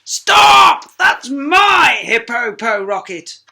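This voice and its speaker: Woman doing a loud, pompous male hippo explorer. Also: high-pitched, sing-song, extremely slow, vocal fry, pitched above the performer's own voice, exaggerated voice. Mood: furious. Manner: blustering, haughty, emphatic.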